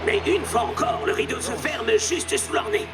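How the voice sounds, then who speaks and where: A man playing an excitable, booming male football commentator in a French cartoon dub. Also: moderate pace, loud music behind the voice, exaggerated voice. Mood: excited.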